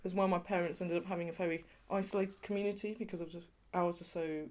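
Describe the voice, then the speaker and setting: A woman's voice: lowish voice, steady tone, fast talker, a little mellow. A woman from England being interviewed, talking in a chatty way with lots of "you know" and light laughs. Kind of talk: oral history interview.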